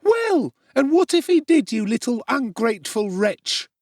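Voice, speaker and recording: Man doing a shrill, indignant undertaker's wife. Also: big pitch swings, a little slow, a little mellow, no echo, clean studio sound, exaggerated voice.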